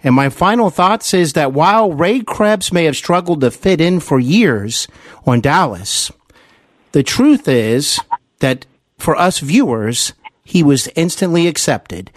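A man in his forties hosting an interview podcast, speaking in an earnest, deliberate and effusive way. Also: mid-range voice, animated, no room sound. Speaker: podcast host.

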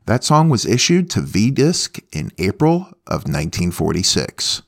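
A man presents a music show in a low voice with a touch of gravel. His pitch swings widely as he talks straight to the listener. The delivery is chatty and playful, in the manner of a scripted radio host. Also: big pitch swings, unhurried.

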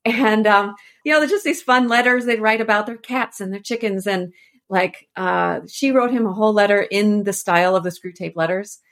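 A woman's voice: medium pitch, normal speed, fairly bright tone. A woman speaking as a scholarly interview guest, explaining things informally in meandering, conversational phrasing. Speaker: scholar and author, podcast guest.

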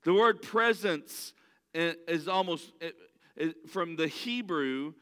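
A man preaches with earnest conviction, often stumbling and restarting his sentences, and English is not his first language. His voice sits high and rings smooth and resonant. A long reverb hangs behind him, as in a church hall. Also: takes it slowly, fairly bright tone. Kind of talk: sermon.

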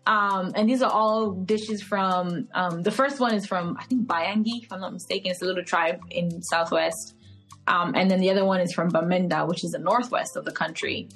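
A woman's voice: lowish voice, normal speed, fairly bright tone, soft background music. A woman in her thirties from Cameroon with a Cameroonian English accent, chatting expressively as a podcast guest with frequent fillers. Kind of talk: podcast interview, casual chat.

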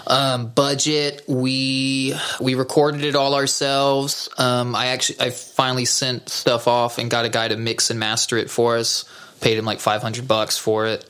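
A man's voice: mid-range voice, unhurried. A man, a musician guest, talking casually and loosely about making his band's song and video. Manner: relaxed, rambling with fillers. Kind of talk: casual interview chat.

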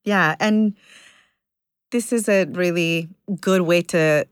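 A woman from Ecuador, a community organizer, speaking earnestly and thoughtfully in an interview, pausing with fillers. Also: lowish voice, clear, resonant tone, no room sound, studio quality. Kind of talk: reflective interview conversation.

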